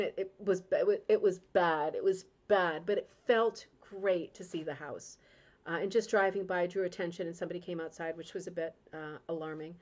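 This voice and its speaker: A woman's voice: lowish voice, a little mellow. A middle-aged woman from Rhode Island, hosting a crafting club livestream in casual, emotional chat and reading out viewer comments, with frequent tag questions. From Rhode Island, United States.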